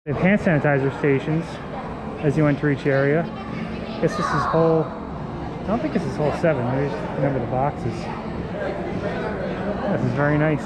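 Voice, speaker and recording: A man's voice: fairly high pitch, moderate pace, long pauses, loud music behind the voice. A man casually narrating a game of indoor mini golf, chatty and upbeat, laughing often. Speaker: vlogger. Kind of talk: casual vlog commentary while playing mini golf. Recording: dull lo-fi camera audio with loud crowd and noise.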